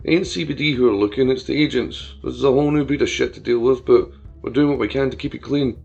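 A gruff, urgent caller, acted by a man in a storybook, talking in clipped slang. The voice is gravelly and the sound a bit dull. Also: mid-range voice, fast, a little mellow, faint music behind. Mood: urgent warning. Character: gruff, slangy insider phoning a warning.

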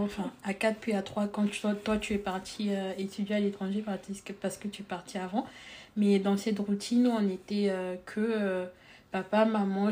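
A young woman with a Congolese accent touched by French, telling a personal story as a podcast guest, earnest and reflective. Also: medium pitch. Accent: Congolese with French influence. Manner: reflective, earnest, lively when recounting.

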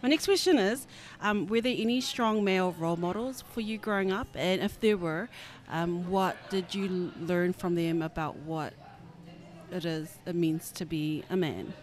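A woman's voice: on the low side, normal speed. A woman hosting and asking interview questions in an upbeat, encouraging way. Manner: upbeat, encouraging, laughs easily. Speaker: podcast host.

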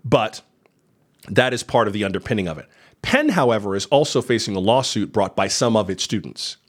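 A man's voice: lowish voice, very animated, brisk, slightly rough. Skeptical man giving news commentary. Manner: conversational, skeptical, wry asides.

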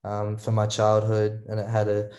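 An Australian man, a songwriter guest on a podcast, telling stories about his past in a halting, rambling way. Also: on the low side, completely flat delivery, mellow. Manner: rambling, halting, restarts often. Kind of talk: casual interview storytelling. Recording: echoey room.

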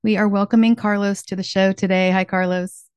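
A woman's voice: mid-range voice, flat delivery, fairly quick, a little mellow, clean tone, no echo. A woman hosting her podcast, reading a friendly scripted introduction and then asking interview questions. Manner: friendly, scripted introductions, brief encouraging replies. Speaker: podcast host.

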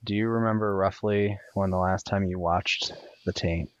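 Man, very low voice, monotone, filler-heavy casual podcast talk, dry dull headset sound. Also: normal speed, dry recording. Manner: monotone, with many ums and uhs. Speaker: podcast host. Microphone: USB desktop headset.

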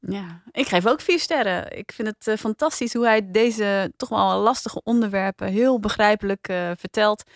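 A woman's voice: medium pitch, moderate pace, hardly any pauses, dry recording. A woman hosting a casual podcast review chat, close to a studio microphone. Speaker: podcast host.

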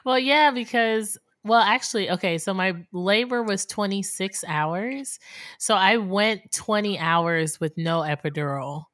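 A young woman, hoarse today, co-hosting a casual chat podcast and laughing often between stories. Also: medium pitch, unhurried, fairly bright tone, no room sound, clean studio sound. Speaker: podcast co-host.

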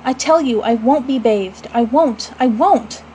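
A woman's voice: a little high, a little slow, warm tone, a little hiss or hum, close to an everyday voice. A woman voicing a stubborn little boy in a storybook, protesting in a petulant, plaintive wail.

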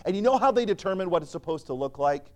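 A man with a fairly high voice delivers a sermon in a church sanctuary. He preaches earnestly and emphatically, rising to loud declarations and dropping to quiet, pointed lines. When he quotes scripture, he slips into solemn old-fashioned wording. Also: lively intonation, quick pace.

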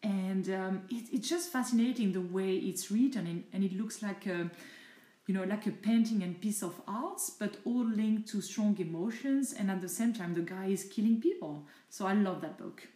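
A middle-aged woman from France with a French accent, speaking English rather than her native French, giving chatty, thoughtful answers with searching pauses in a casual home interview. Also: medium pitch, dark tone, echoey room. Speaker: interviewee, mother of the host.

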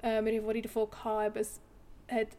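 A woman's voice: a little high, completely flat delivery, fast, dark tone. A woman with a Swiss German accent hosting a podcast, asking questions and often rephrasing them as she goes. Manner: searching, rephrases her questions. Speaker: podcast host, interviewer. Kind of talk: interview questions.

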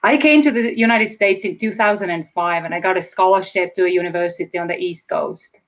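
Woman in her 40s, Estonian accent, measured and reflective, slightly muffled lo-fi audio. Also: lowish voice. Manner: measured, reflective, slows to stress key words. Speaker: guest, speaker and trainer. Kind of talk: casual interview chat. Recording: slightly dull lo-fi sound.

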